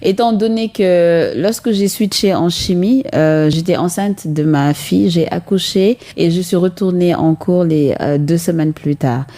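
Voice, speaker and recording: Radio guest: a woman in her thirties, mellow and measured, pausing often as she thinks. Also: on the low side. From Senegal. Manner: earnest, measured, frequent hesitations. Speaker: guest, chemist and entrepreneur. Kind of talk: radio interview answers.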